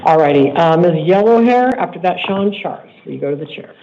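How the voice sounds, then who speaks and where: A man acting as judge in a court hearing. He speaks in a stern, measured way and repeats himself when he is pressing a point. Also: a little high, animated, vocal fry, noisy background. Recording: tinny court livestream audio.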